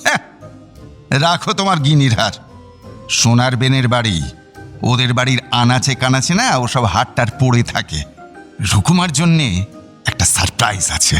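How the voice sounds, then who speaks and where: Boastful old husband, lively swooping delivery. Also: male voice, mid-range voice, normal speed, long pauses, faint music behind. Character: boastful, playful elderly husband and father-in-law. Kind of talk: audio drama acting.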